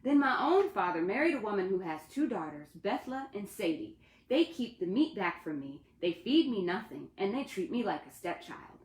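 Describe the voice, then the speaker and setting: A woman's voice: medium pitch, sing-song, normal speed, close to an everyday voice. A woman voicing a mistreated, candid young girl from a storybook folktale, speaking in a folksy, plaintive way. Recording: echoey room.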